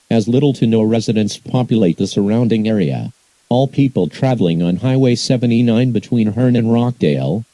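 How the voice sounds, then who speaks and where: A man's voice: medium pitch, mellow, no room sound, rough recording. A man reading scripted emergency alerts as an announcer, in a formal, impersonal, synthetic-sounding delivery.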